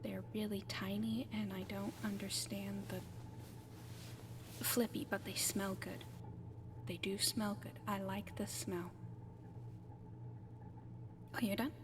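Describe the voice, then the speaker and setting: A woman playing a friendly, apologetic alien caretaker in an ASMR roleplay, speaking gently and haltingly and often slipping into whispers. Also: medium pitch, flat delivery, background noise. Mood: gentle, curious, then cheerful, helpful. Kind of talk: soft-spoken ASMR roleplay addressed to the listener.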